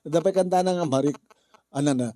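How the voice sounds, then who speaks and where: Rambling Ilocano man, mellow dry voice. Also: on the high side, fairly quick, no echo. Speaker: radio drama actor and host. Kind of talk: casual chat with bursts of singing.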